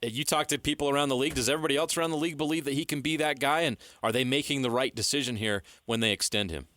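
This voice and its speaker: Fast talking sports radio guy, sharp bright voice, close dry mic. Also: medium pitch, no echo. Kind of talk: casual sports talk. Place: radio studio. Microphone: close studio mic.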